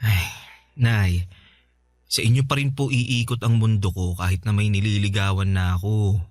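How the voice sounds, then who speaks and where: A man's voice: lowish voice, steady tone, normal speed, a little mellow, dry recording, close to an everyday voice. A man playing a tender, devoted grown son who speaks respectfully and coaxingly, in a radio drama. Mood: fond, earnest. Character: devoted grown son, tender and caring toward his mother. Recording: music under the voice.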